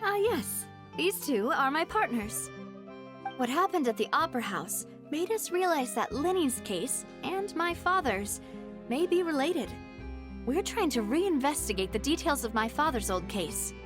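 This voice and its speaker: Young female gang leader for a game: high voice, composed, resolute, music clearly underneath. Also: big pitch swings, a little slow. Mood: businesslike. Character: young woman gang leader, poised, determined daughter. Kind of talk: video game voice acting, dramatic dialogue.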